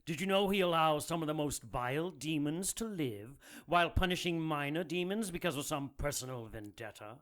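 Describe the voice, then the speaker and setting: A cold self-righteous Vatican cleric. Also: male voice, a little high, normal speed, few pauses. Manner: calm, condescending, preachy.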